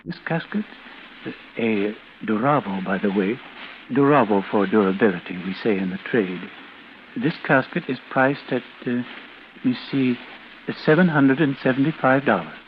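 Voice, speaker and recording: An actor playing a solicitous, solemnly courteous mortician with a smooth sales patter in a 1940s radio drama. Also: male voice, medium pitch, moderate pace, natural voice. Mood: unctuous, persuasive. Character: unctuous, solicitous mortician selling caskets. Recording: muffled, hissy old radio recording.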